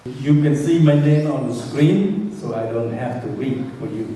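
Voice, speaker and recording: A Cambodian-accented man with a mellow, rounded voice speaks as a preacher, pausing after short phrases for an interpreter. The sound is distant, washed in heavy hall echo. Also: mid-range voice. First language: Khmer. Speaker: guest preacher. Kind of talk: prayer and sermon delivered in short phrases with pauses for an interpreter. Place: large echoey indoor room. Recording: echoey reverberant hall from far off, faint noise.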